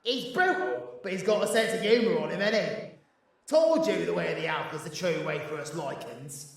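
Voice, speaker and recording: Guy putting on a fervent laddish brit werewolf bouncer, raised pitch, talks fast, echoey room. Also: expressive, gravelly, recorded from a distance. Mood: boastful. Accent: British. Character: brash, zealous male werewolf thug and head doorman. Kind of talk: audio drama dialogue.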